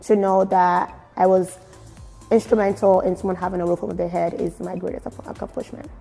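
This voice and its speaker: A woman from Nigeria with a Nigerian accent mixed with British and American, giving expressive, emphatic answers in a casual interview. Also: lowish voice, a little fast, creaky, husky. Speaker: fashion blogger being interviewed. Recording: very echoey room with music. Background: music.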